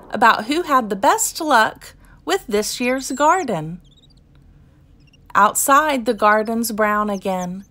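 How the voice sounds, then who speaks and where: A woman reading a children's picture book aloud as scripted narration, in measured, deliberate phrases with pauses. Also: on the high side, fairly bright tone, a little hiss or hum. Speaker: storybook reader.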